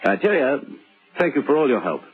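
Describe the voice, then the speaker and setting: A man's voice: mid-range voice, very animated, fairly quick, close to an everyday voice. An actor playing a scheming, nervous middle-aged husband in an old radio drama, muttering and stammering as he goes. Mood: nervous, falsely concerned. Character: scheming forty-year-old husband hiding a crime, nervous, self-satisfied. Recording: tinny old radio recording with music.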